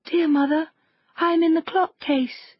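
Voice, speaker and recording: A woman voicing a small, excitable young goat kid in a children's fairy tale audiobook. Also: very high voice, unhurried, muffled recording, pitched above the performer's own voice. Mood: small, pleading. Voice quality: soft, childlike.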